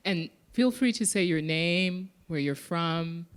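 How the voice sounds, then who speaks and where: A woman's voice: on the low side, slow pace. A woman moderating a panel discussion in a conversational, unscripted way.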